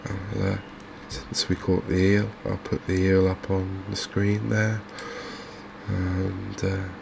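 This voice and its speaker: A man giving softly spoken, ASMR-style commentary as host of a gaming channel, in a halting, understated way. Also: sits low, completely flat delivery, gravelly, noisy background.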